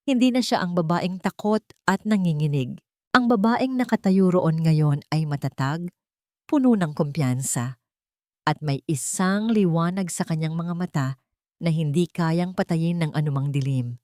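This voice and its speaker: A woman reads a scripted dramatic story in a low voice. Her intonation moves widely, with a solemn, sentimental storytelling feel. The recording is dry and close. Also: lively intonation, measured pace, dark tone, dry recording. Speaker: audio-drama narrator.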